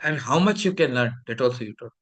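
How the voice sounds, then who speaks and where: A man's voice: mid-range voice, lively intonation, quick pace. A man with an Indian English accent giving an emphatic classroom lecture, sometimes scolding the students.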